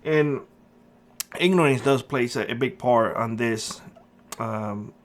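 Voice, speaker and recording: Middle-aged guy from cuba ranting earnestly to camera, lots of uhs, average pitch. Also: slow. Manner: earnest, emphatic, with frequent uh and um. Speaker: prepper channel host. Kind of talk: casual opinion monologue to camera.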